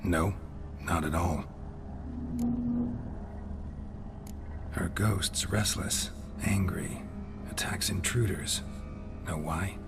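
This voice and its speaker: An actor playing a weary, stoic monster hunter in a video game, terse and deadpan, with a low gravelly voice. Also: male voice, slow, heavily acted. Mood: matter-of-fact, terse. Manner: terse, clipped, deadpan, drops sentence subjects. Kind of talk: video game cutscene acting. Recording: loud music under the voice.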